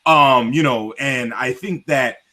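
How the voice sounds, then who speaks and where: A man's voice: medium pitch, slow pace, pushed and bright. A man with an American accent hosting a casual online panel, talking freely with lots of fillers. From grew up in the United States. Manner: chatty, filler-heavy, emphatic. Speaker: panel host.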